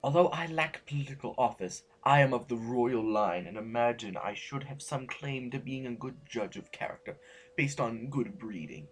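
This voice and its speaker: A man playing a pompous, flattering aristocrat in an audio drama, with a florid, theatrical delivery. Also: medium pitch, close to an everyday voice. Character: pompous, flattering male aristocrat of royal line.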